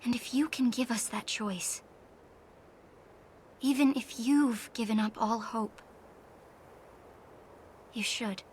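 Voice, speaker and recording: A woman voice acting an earnest, pleading young woman in a dramatic, deliberate video game performance. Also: on the high side, darkish tone, a little hiss or hum.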